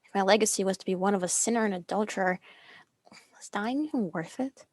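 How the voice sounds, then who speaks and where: A woman's voice: medium pitch, fairly quick, no echo, close to an everyday voice. A woman playing an earnest, anxious noblewoman in a dramatic script reading. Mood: troubled.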